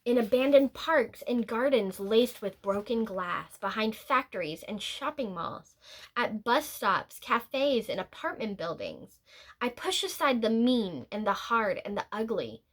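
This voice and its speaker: A woman with a fairly high voice reads a children's story aloud. She takes it very slowly, drawing out words and leaving pauses, and her pitch moves expressively as she goes. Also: sing-song. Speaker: read-aloud teacher. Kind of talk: picture-book read-aloud, scripted narration with brief asides.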